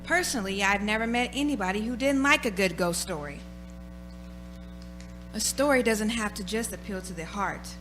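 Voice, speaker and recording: A woman's voice: medium pitch, normal speed, music in the background, low-quality recording. A woman announcer giving a scripted, formal and dramatic introduction to a radio play.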